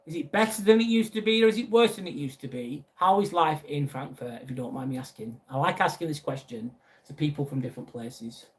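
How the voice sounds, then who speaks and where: A man's voice: on the high side, a little fast. A young man with a Lancashire accent hosting a casual live stream, reading out viewer comments and chatting back. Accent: Lancashire English. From northwest England. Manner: chatty, reads out viewer messages and answers them.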